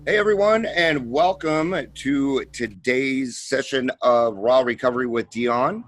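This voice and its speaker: A man's voice: mid-range voice, unhurried, soft background music. A man hosting a recovery talk show, speaking in a heartfelt, conversational way. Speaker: podcast host. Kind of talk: casual interview chat.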